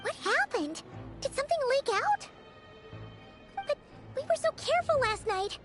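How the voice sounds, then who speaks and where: A woman voicing a small, excitable fairy companion in an anime game, with a squeaky childlike voice and a chatty manner. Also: a little slow, faint music behind, full character voice. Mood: flustered. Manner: speaks of self in third person.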